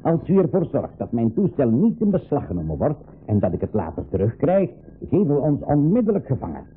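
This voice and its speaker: A man's voice: mid-range voice, huge pitch swings, fairly quick, breathy edge. A man playing an older, stubborn professor and uncle in a 1980s school radio play, speaking emphatically with hesitant repetitions. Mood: firm bargaining. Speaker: radio drama actor. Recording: narrow phone-like old radio recording.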